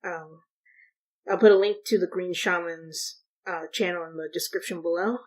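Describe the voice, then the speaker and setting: A woman's voice: lowish voice, steady tone, moderate pace, a little mellow. A woman hosting a reaction video, talking casually and hesitantly as she thinks aloud. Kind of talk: casual unscripted commentary.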